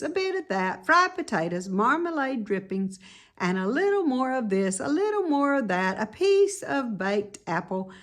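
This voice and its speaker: A woman reading a children's story aloud as narrator, with deliberate, dramatic emphasis. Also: fairly high pitch, fairly bright tone. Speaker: storybook reader.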